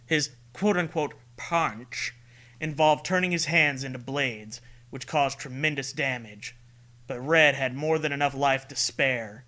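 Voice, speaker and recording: A man's voice: medium pitch, a little slow, bright, forceful tone. A man co-hosting a comedy riffing show, switching between mock-dramatic story reading and crude, sweary, sarcastic joking. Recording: music behind the voice. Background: music.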